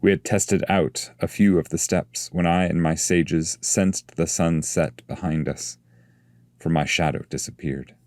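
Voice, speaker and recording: A man reading epic poetry as a scripted narration, in a measured, solemn way. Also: low voice, darkish tone, no echo, studio quality.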